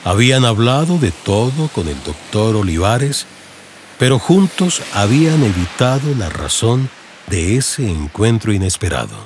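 Expressive Colombian male narrator, lowish voice. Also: unhurried. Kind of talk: scripted audiobook narration, radionovela. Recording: faint background noise and ambience.

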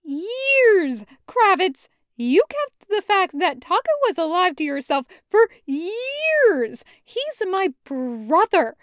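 Female reader as angry betrayed woman, high-pitched, forceful accusing outbursts, audiobook drama, dry close sound. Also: sing-song, slow, few pauses, no echo, full character voice. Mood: furious, accusing. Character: furious, hurt woman confronting a friend who betrayed her. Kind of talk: audiobook character acting.

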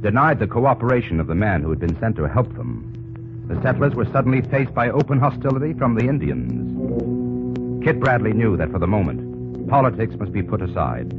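A man's voice: on the low side, lively intonation, normal speed. A man giving dramatic, scripted narration for an old-time radio drama. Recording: muffled old radio recording with music.

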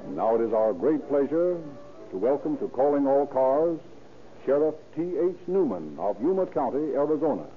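A man's voice: medium pitch, big pitch swings, moderate pace, over music. An American man announcing and narrating an old-time radio show from a script in a formal, declamatory style. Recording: tinny 1930s radio recording.

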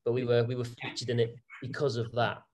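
A man talks through his property business as an interview guest. His speech is chatty and relaxed, with frequent fillers and restarts as he explains things, and his voice sits at a middle pitch for a man. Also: brisk, slight background noise. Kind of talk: conversational interview answers.

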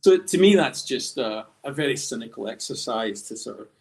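Creaky-voiced man telling stories. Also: mid-range voice, lively intonation, moderate pace. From Scotland. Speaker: guest comic artist. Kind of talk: conversational storytelling in a podcast interview.

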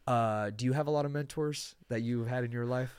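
A man's voice: medium pitch, brisk, a little mellow, clean tone, no room sound. A man in his twenties hosting a podcast, telling stories in an earnest, rambling way with many restarts. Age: 20s. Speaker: podcast host. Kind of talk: casual interview chat.

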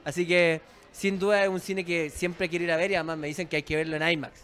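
A man's voice: fairly high pitch, flat delivery, quick pace, a bit bright. A man with a Chilean accent hosting an interview, upbeat and playful, laughing often. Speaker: interviewer, host. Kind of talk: casual interview chat.

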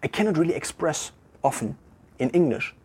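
A German-accented man talks alone to a camera in an empty room, working through his thoughts in a loose, conversational way. His voice is strongly husky, and he often stops mid-phrase to restart or correct himself. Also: fairly high pitch, expressive, a little mellow. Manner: thinking aloud, halting with restarts and self-corrections. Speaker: vlogger. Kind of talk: casual reflective monologue to camera.

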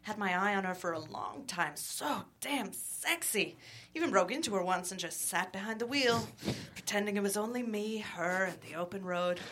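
A woman playing a smooth-talking, slangy Mexican man, an ex-con taco seller, in a comedy table read. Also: mid-range voice, lively intonation, moderate pace, fairly bright tone. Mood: cheeky, wistful. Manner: slangy, cheeky, unashamed.

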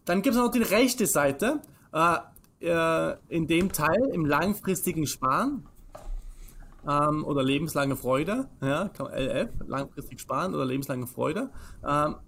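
Austrian man, early thirties, higher-set voice, emphatic explainer who poses questions and answers them himself. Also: lively intonation, a little slow, a bit bright, a little hiss or hum. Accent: Austrian German. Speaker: financial advisor, interview guest. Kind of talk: explanatory conversation.

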